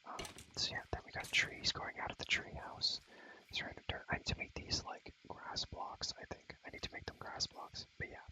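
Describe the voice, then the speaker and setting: Whispering young man, bright and hissy. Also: moderate pace, poor audio. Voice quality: breathy, unvoiced whisper. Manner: repeats phrases softly, slangy. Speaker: video creator showing a game world. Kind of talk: whispered ASMR game tour, casual chat.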